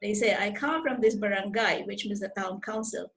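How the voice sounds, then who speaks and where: An older woman from the Philippines with a Filipino accent, giving an earnest, deliberate motivational talk as a mentor on a video call. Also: mid-range voice, a bit bright. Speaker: life coach and programme mentor. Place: indoors on a group video call. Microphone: video call microphone.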